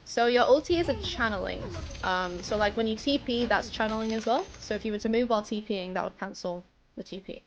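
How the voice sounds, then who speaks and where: A woman's voice: fairly high pitch, fast, lo-fi sound. A woman streaming live, patiently explaining a video game step by step to a newer player. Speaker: streamer coaching a new player.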